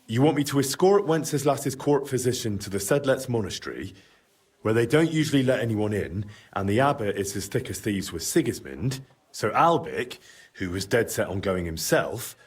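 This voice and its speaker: A man's voice: medium pitch, husky. An actor playing a dutiful, dry young medieval man-at-arms in a video game, direct and courteous. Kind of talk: video game cutscene acting.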